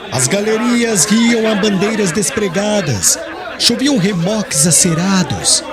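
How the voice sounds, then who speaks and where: A man with a Brazilian accent reads a literary audiobook in a solemn, expressive storytelling manner. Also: high-pitched. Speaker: audiobook narrator. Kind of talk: scripted literary narration. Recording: music under the voice. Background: background music.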